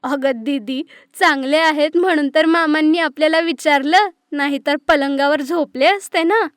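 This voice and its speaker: Woman voicing a cheeky high-pitched little girl. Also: normal speed, dry recording, studio quality, lightly acted. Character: cheeky, mischievous little girl niece, quick to talk back. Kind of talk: animated moral story dialogue.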